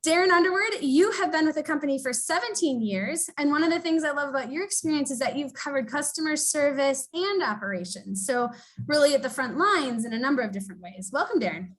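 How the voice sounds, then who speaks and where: High-voiced upbeat woman hosting a webinar. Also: lively intonation, quick pace. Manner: upbeat, chatty with fillers. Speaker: webinar host.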